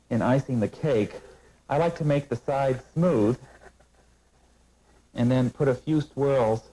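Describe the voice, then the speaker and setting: A middle-aged man hosts a cooking show from a studio kitchen set, speaking into a lapel mic. His voice is mellow and even-pitched, and he explains each step in a calm, instructive way. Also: poor audio. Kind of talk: scripted cooking demonstration.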